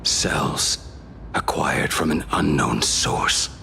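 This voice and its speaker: A grave game character with a very low, gravelly voice. He is solemn and ominous, speaking slowly and deliberately with a forceful edge. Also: loud music behind the voice, full character voice. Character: grave, weary male warning of a grim conspiracy. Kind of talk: dramatic video game voice acting.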